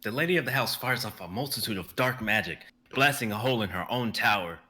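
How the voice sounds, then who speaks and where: A young man narrating stage directions in a live script reading, brisk and vivid, with the odd stumble. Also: lowish voice, lively intonation, sharp tone. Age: young adult.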